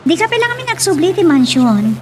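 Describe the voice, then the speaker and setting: A woman's voice: very high-pitched, big pitch swings, a little fast, a little mellow, a little hiss or hum. A woman playing a chatty young woman in an Ilocano love-story radio drama. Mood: chatty, inquiring.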